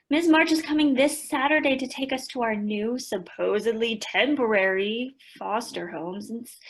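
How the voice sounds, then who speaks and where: A woman acting an earnest, worried teenage daughter in a modern radio play, with a slightly husky voice. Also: sits high, fairly bright tone, full character voice, low-quality recording. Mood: upset.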